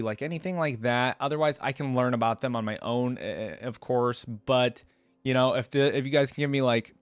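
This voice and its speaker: A man speaks in a medium-pitched voice with very little room sound. He hosts a casual, unscripted reaction video, addressing viewers directly. His delivery rambles and muses, often turning into open questions. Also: clear, resonant tone, dry recording. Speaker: reaction channel host.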